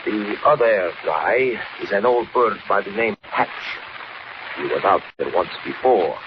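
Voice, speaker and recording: An actor playing a menacing gangster boss in a 1940s radio serial, barking terse, urgent orders in rough, clipped speech. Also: male voice, on the low side, animated, a little slow. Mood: scheming, commanding. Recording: dull, noisy old radio recording.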